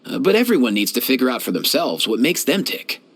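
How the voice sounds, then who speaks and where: A lively, easygoing young animal doctor. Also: male voice, mid-range voice, fairly quick, slightly rough, exaggerated voice. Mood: easygoing, caring. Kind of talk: scripted video game character voice lines.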